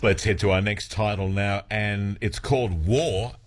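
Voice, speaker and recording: A man's voice: on the low side, a little slow. A man hosting an afternoon radio show, asking easygoing questions. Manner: relaxed, easygoing questions with fillers. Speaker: radio host. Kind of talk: casual radio chat.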